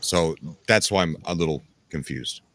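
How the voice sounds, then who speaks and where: A man's voice: low voice, moderate pace, a bit bright, slight background noise. An American man hosting a casual live group chat in a bantering, conversational way. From Ohio and Florida, United States. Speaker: stream host.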